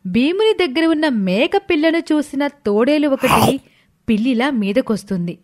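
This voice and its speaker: A woman narrating a scripted moral story in an expressive storytelling style. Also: high-pitched. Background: background music.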